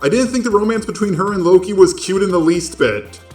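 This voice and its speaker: Guy doing snarky opinionated movie rant, kinda higher voice, echoey, music playing behind him. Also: lively intonation, a little fast, darkish tone. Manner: sarcastic, exasperated ranting. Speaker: host of a video commentary channel. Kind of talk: opinionated film and TV commentary. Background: background music.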